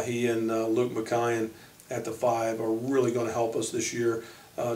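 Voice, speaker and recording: An American man speaking as a basketball coach, informally introducing his team in halting short phrases. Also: medium pitch, darkish tone. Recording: echoey room, distant microphone.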